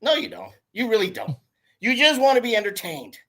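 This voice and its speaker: A hoarse man trades takes on a wrestling podcast. He pushes his opinions hard and speaks right at the listeners in a relaxed, chatty way. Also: sits high, fairly quick. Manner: opinionated, talks straight to listeners. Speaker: podcast co-host. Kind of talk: casual wrestling chat.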